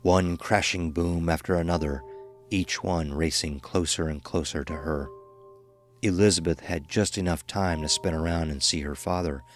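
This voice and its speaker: Male narrator, deep voice, scripted horror storytelling, dramatic, measured, faint background music.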